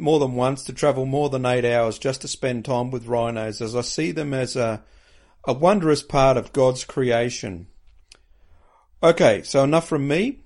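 Gravelly-voiced male radio host. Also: mid-range voice, darkish tone. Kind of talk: scripted show introduction.

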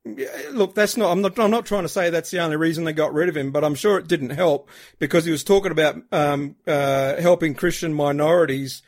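Man with a higher voice. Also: brisk, hardly any pauses. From Australia. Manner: chatty, asides with fillers. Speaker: co-host. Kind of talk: casual radio interview talk.

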